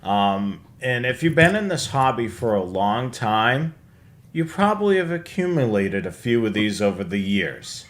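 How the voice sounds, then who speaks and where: A man's voice: mid-range voice, big pitch swings, measured pace. A man hosting a live show, presenting products in a casual, conversational walkthrough. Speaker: show host presenting slides.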